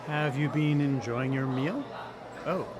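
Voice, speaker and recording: A man's voice: medium pitch, slow pace, a crowd in the background, close to an everyday voice. A man playing a polite, formal restaurant waiter in a live radio drama. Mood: courteous.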